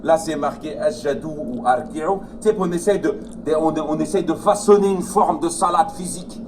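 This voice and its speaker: Guy far from the mic, noisy room behind, chatty and halting. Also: fairly high pitch, darkish tone. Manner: conversational, hesitant, lots of fillers. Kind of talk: casual explanation in a debate. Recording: distant mic with ambient noise.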